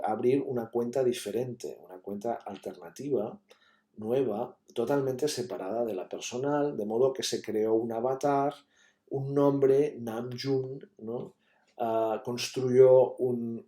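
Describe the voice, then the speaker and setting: A man's voice: mid-range voice, mellow. A man giving an academic conference talk, explaining steadily with many fillers and tag questions. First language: Catalan. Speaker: university lecturer. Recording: echoey room.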